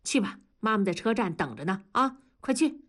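A woman's voice: medium pitch, sing-song, normal speed, fairly bright tone. Middle-aged dad, placating but exasperated, voiced by a woman reading aloud; storybook voice.

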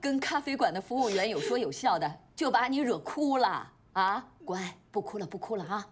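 A woman's voice: a little high, animated, normal speed. A middle-aged woman acting a protective aunt, doting yet commanding, emphatic and repetitive in a TV drama. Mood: soothing. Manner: emphatic, repeats herself.

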